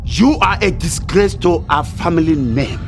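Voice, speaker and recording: An actor playing a proud, quarrelsome middle-aged uncle in a film drama, with a Nigerian accent and an indignant, threatening delivery. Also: male voice, a little high, animated, soft background music. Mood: enraged. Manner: indignant, insulting, drawn-out delivery.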